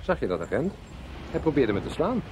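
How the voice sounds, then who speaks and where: A man acting a dutiful police driver in a radio drama. His medium-pitched voice is official and matter-of-fact, with clear vehicle noise behind it. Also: sing-song, fairly quick, a little mellow, close to an everyday voice. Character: steady, dutiful male British police sergeant and driver. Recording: noisy car and vehicle ambience.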